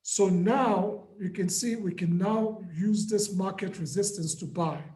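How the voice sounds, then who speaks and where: Hoarse man teaching live trading. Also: high-pitched, measured pace, mellow, reverberant. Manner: patient, repeats key points. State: hoarse, lost voice. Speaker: trading mentor.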